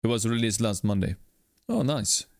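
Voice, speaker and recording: A man's voice: on the low side, moderate pace, gravelly, darkish tone, no echo. A man streaming his coding work, talking through his steps and greeting chat viewers by name. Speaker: livestreaming game developer.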